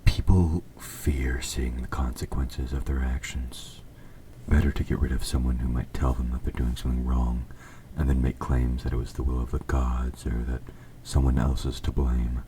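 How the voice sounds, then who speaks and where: A man playing an evil king in an audio roleplay, speaking to the listener in a formal, measured, politely sinister way. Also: very deep voice, level tone, warm tone. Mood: earnest, persuasive. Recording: faint background noise.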